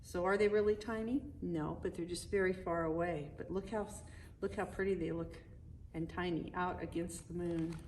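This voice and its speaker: A woman reading a picture book aloud in an expressive, animated way, adding chatty asides for young listeners. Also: lowish voice, normal speed. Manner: animated, emphatic storytelling with conversational commentary. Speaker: storybook reader. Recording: distant mic with audible background noise.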